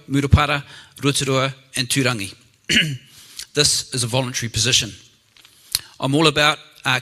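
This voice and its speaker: Middle-aged male candidate, New Zealand accent, bright clear-cut tone, plain-spoken campaign introduction. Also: mid-range voice. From Auckland, New Zealand. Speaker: council candidate.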